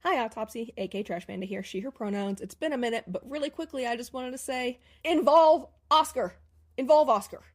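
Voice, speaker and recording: Женщина, эмоциональный комментарий слушательницы. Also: female voice, on the high side, fairly quick. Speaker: listener sending a voice submission. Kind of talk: emphatic casual fan commentary.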